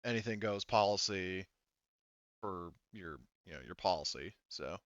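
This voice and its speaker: Man, game master, slightly low pitch, dry close sound, rambling casual rules talk. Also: animated, measured pace, fairly bright tone, dry recording, lo-fi sound.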